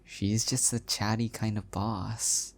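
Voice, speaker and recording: Soft-spoken, hesitant, oblivious young husband played by a man. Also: fairly low pitch, little pitch movement, takes it slowly. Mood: oblivious, fond. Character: adorkable, oblivious, self-deprecating young husband. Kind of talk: intimate audio roleplay, one-sided conversation.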